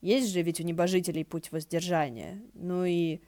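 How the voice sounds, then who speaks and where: A woman's voice: on the low side, steady tone, normal speed, mellow, natural voice. A woman voicing a prickly, awkward male ghost in an audiobook, snide and muttering. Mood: awkward, needling.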